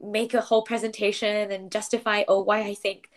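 A woman's voice: mid-range voice, flat delivery, brisk. Chatty young woman from Malaysia. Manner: chatty, laughs often, many "like" fillers. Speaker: podcast guest, paralegal. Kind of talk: casual interview chat.

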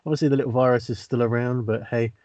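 A man hosts a casual comedy podcast, stumbling along with fillers and pauses. His voice comes through dull and lo-fi, dry and close. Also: mid-range voice, fairly even intonation, darkish tone, dry recording. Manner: hesitant, lots of uhs. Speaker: podcast host.

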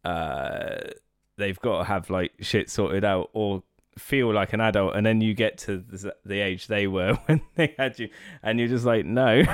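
A man's voice: lowish voice, unhurried, no room sound, clean studio sound. A man in his thirties hosting a podcast, who laughs easily and asks friendly questions in casual conversation. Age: 30s. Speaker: podcast host.

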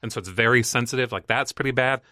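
Man, softened Northern Irish accent, bright tone, rambling and self-deprecating podcast guest. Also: mid-range voice, fast, no room sound, studio quality. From Northern Ireland. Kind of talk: casual chat.

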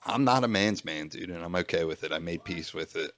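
A young man with a deep voice, chatting casually and laid-back as a podcast co-host, often saying "dude". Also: fairly quick, fairly bright tone. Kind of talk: casual chat.

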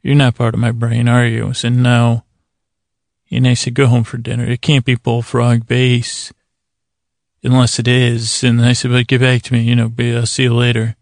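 A man hosts a bedtime podcast in a creaky, fry-laden voice that barely rises or falls. He meanders, trips over words and interrupts himself, keeping a lulling, soothing tone. The sound is dry, with no room around it. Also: mid-range voice, monotone, normal speed, no echo. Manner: meandering, stammering, self-interrupting. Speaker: podcast host. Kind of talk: rambling bedtime monologue.

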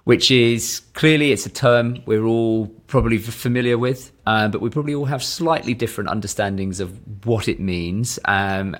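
A man hosting a business podcast, asking questions in a measured, conversational way with frequent ums. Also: lowish voice. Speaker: podcast host. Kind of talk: podcast interview.

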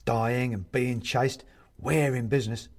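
A man's voice: mid-range voice, steady tone, a little slow, darkish tone, lightly acted. A man voicing a jovial, fussy china-figurine ghost in a storybook, chatty and hearty with old-fashioned British turns of phrase. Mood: hearty, welcoming. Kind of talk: storybook character voice.

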